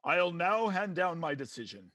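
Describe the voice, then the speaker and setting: An actor playing a pompous, preachy judge in an old-time radio comedy, with theatrical delivery. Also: male voice, a little high, very animated, moderate pace, fairly bright tone, dry recording. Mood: pompous, wry. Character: pompous male judge presiding over comic domestic court.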